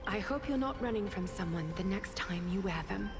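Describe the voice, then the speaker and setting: An actress playing a composed, elegant, quietly caring seamstress in a video game, speaking in a measured, restrained way. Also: female voice, mid-range voice, animated, lightly acted. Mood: quiet concern. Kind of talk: soft dramatic video game voice acting. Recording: music over the voice.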